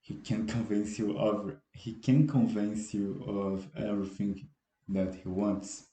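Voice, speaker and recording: An eighteen-year-old man from Brazil with a Brazilian Portuguese accent, speaking English as a second language. He hosts a casual solo podcast and talks in a halting, self-deprecating way, often restarting his words. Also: on the low side, flat delivery, dark tone. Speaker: podcast host. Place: room at home, dogs barking outside. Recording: echoey room.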